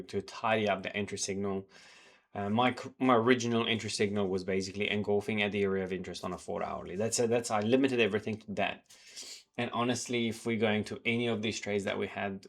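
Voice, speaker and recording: A man slightly sick, talking casually through his trading journal and charts, rambling with many fillers and restarts. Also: on the low side. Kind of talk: casual talk to camera, reviewing charts. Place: home in New Zealand.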